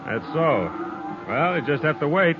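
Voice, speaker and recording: An actor playing a weathered, stubborn Texas trail boss in an old radio western, with a drawling frontier way of talking. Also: male voice, fairly high pitch, animated, measured pace, noisy background. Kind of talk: 1950s radio drama acting. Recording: muffled old radio recording.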